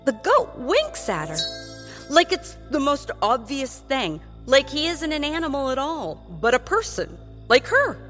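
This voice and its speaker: A woman's voice: high voice, very animated, unhurried, a bit bright, faint music behind. A woman narrating a children's drama in a scripted, suspenseful and emphatic storytelling style.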